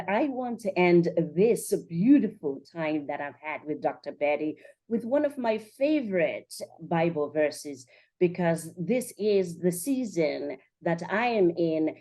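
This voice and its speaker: A woman's voice: on the low side, a little mellow. A woman hosting a podcast interview, putting questions to a guest and responding to the answers. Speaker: podcast host.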